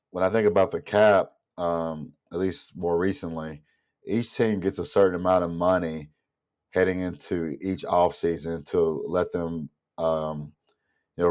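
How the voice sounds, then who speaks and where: A man's voice: low voice, darkish tone. A man giving a casual, rambling opinion monologue as a vlogger, sounding nasal and congested from a mild illness. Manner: rambling, thinking aloud.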